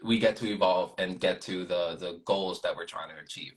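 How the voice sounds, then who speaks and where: A man's voice: sits low, steady tone, moderate pace. A man in his twenties with a Puerto Rican accent, Spanish his first language, talking earnestly as a guest on a live video call with many fillers. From Puerto Rico. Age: 20s. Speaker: guest content creator. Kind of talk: casual interview on a live stream.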